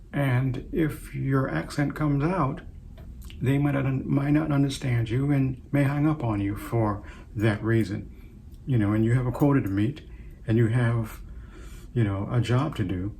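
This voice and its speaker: An older man in his sixties, raised in Trinidad, talks to his viewers as host of his own show. His voice sits in an ordinary middle pitch, and he speaks unhurriedly and reflectively, pausing often as he gathers his thoughts. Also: gravelly, darkish tone, slight background noise. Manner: reflective, unhurried, with many pauses. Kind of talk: casual personal talk to camera.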